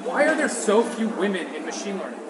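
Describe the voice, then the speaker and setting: A man reading out short quick-fire questions as an interviewer. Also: sits high, very animated. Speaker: interviewer, host. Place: outdoor city walkway. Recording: echoey, noisy outdoor ambience.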